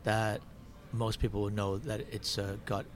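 Hesitant low-voiced man, noisy background. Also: level tone, unhurried. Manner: hesitant, thinking aloud with restarts. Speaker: stand-up comedian. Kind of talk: casual podcast chat.

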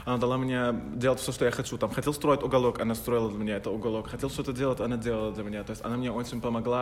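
A man with an Arabic accent chatting in an interview, talking quickly and warmly about his team, often laughing, with slightly broken grammar. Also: mid-range voice, big-room sound, slight background noise. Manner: fast, animated, laughs often, imperfect grammar. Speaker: fashion photographer. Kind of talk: casual interview chat.

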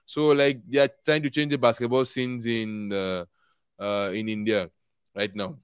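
Earnest young man, smooth voice. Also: medium pitch, level tone, measured pace, dry recording, studio quality. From Nigeria. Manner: earnest, rambling with many fillers and laughs. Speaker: guest. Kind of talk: casual interview chat.

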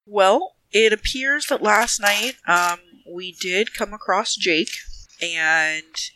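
A man's voice: high voice, slow, pushed and bright, slight background noise, rough recording. A man playing a tabletop role-playing game, improvising casual dialogue with polite, hedging questions.

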